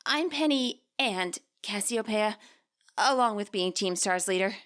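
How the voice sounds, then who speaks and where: A woman's voice: medium pitch, lively intonation, measured pace, fairly bright tone. A woman voicing a flustered, awkward teenage girl gang leader, stammering and hesitant, in a dramatised fanfiction reading. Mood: sheepish.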